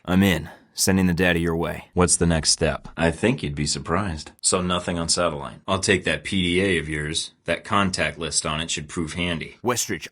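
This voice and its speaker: A man's voice: low-pitched, a bit bright. A man voicing a wry young secret agent who stays cool under pressure and talks in a dry, sarcastic, clipped way, recorded as video game voice lines. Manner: dry, sarcastic, clipped radio-style field talk.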